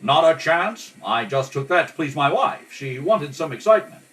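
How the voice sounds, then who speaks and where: Male reader as wry, easygoing detective husband, radio drama, animated, slightly off-mic. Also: fairly high pitch, voice pushed up. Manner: dry, teasing, unhurried. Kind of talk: old-time radio play acting. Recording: home kitchen, distant mic.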